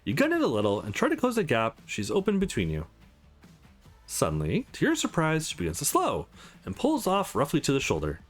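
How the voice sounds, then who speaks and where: A man reading a visual novel aloud as a let's play host, adding his own commentary in an animated way. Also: a little high, fairly quick, soft background music. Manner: animated, acts out character lines while reading.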